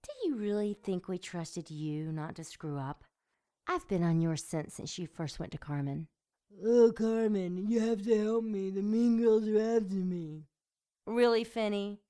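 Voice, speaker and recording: Woman playing a slow, sultry, sadistic demoness. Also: mid-range voice, animated, warm tone, clean tone, natural voice. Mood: mocking. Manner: sultry, mocking, savoring delivery. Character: seductive, sadistic female demon, taunting and domineering. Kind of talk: audio drama acting.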